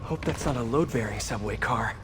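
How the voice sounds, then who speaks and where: A man's voice: mid-range voice, brisk, close to an everyday voice. An actor playing a young, good-hearted American superhero in a video game, earnest and quippy as he talks. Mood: light, focused. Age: young adult. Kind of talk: video game voice acting, talking to himself. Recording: loud music behind the voice. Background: game music.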